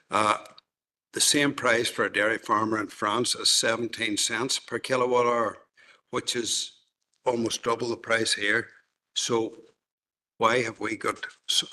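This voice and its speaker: A man's voice: fairly low pitch, pushed and bright. A man presenting to a council committee, explaining points with rhetorical questions. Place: council chamber.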